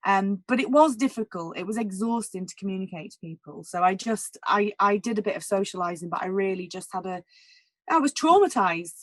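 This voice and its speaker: Husky-voiced woman singer, candid. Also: medium pitch, expressive, fairly quick, no room sound. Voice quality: naturally husky voice. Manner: candid and emotional, many "like" and "you know" fillers. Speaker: guest, jazz singer. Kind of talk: casual interview conversation.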